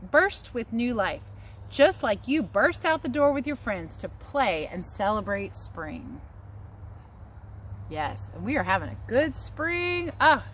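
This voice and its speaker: A woman teacher reading a picture book aloud to children outdoors, adding casual asides and speaking to her young listeners in an encouraging way. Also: medium pitch. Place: outdoors under trees near a house. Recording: outdoor background noise, passing aircraft.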